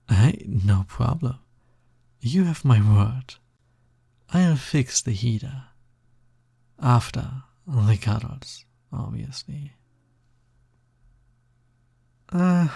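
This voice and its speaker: A man acting as a smug, touch-starved naga demon in an intimate audio roleplay. The voice is mellow and breathy with a gravelly creak at the edges. He drawls softly, teasing and coaxing the listener. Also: medium pitch, dry recording, clean studio sound, full character voice. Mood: bargaining, smug. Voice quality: breathy, soft, slightly gravelly.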